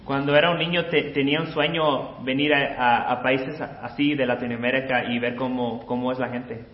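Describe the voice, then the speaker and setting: A man's voice: medium pitch, fairly even intonation, fast. Hesitant male interpreter in a roomy space. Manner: hesitant, many fillers and restarts. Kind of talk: live consecutive interpreting. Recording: roomy lo-fi recording with faint noise.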